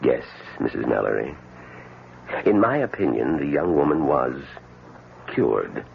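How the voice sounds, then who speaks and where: An actor playing a grave, courteous doctor in an old-time radio drama, speaking in a formal, measured, authoritative way. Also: male voice, very deep voice, very animated, creaky, exaggerated voice. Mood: grave, explaining. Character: grave, courteous male doctor explaining a patient's cure. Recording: tinny, hissy old radio recording.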